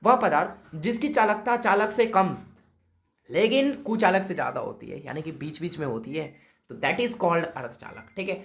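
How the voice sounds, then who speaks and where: Hoarse man teaching physics. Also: sits high, lively intonation, normal speed, fairly bright tone. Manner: chatty, repeats "okay" and calls students "brother". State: sore throat. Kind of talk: exam-prep lesson.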